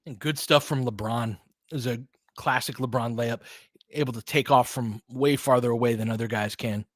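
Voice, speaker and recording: A man's voice: mid-range voice, fairly even intonation, normal speed, dry recording, studio quality. A man doing live basketball play-by-play as a partisan fan, in short clipped phrases with casual, slangy exclamations and bits of analysis. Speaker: fan play-by-play commentator and host.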